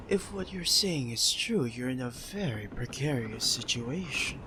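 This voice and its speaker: A man's voice: mid-range voice, background noise, natural voice. A man playing a suave, self-assured pirate captain in an ASMR roleplay, courteous and playfully smug. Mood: intrigued.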